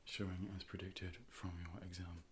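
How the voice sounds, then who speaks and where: A low-voiced man performs a soft ASMR role play as a calm, polite optician. His delivery is measured and hushed, often slipping into a whisper. He is close to the microphone, with faint noise behind the voice. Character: calm, polite robot A.I. optician conducting an eye exam. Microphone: close ear-to-ear stereo microphone.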